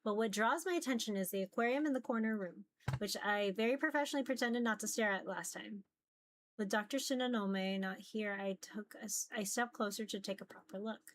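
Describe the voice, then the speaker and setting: A woman's voice: mid-range voice, fairly quick. A woman reading a visual novel aloud as dramatic narration.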